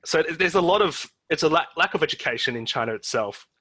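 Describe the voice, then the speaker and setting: A man born in Australia hosting an interview, talking casually with halting restarts. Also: fairly high pitch, lively intonation, pushed and bright. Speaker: podcast host.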